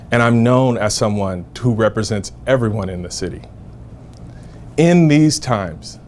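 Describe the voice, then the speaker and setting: Man, council member, measured, deliberate, campaign statement, faint background noise. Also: on the low side, darkish tone. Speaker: city council member seeking re-election.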